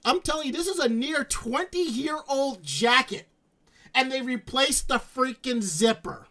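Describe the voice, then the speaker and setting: A man's voice: very high voice, unhurried. A man with a New York accent hosting a casual live stream chat, telling stories and acting out his own reactions. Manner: animated, quotes himself in asides. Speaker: stream host.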